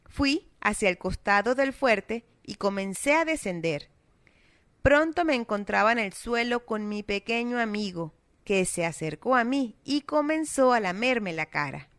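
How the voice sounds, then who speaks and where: Woman, South American Spanish accent, fairly high voice, expressive storybook reading, dry close sound. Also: measured pace, no echo. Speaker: narrator. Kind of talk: scripted storybook narration.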